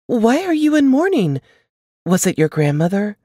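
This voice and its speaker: A woman voicing an anxious, warm and chatty elder sister in an audiobook, effusive and full of fussing concern. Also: mid-range voice, big pitch swings, normal speed, breathy edge, no echo, studio quality, voice pushed up. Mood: worried. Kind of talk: audiobook character dialogue.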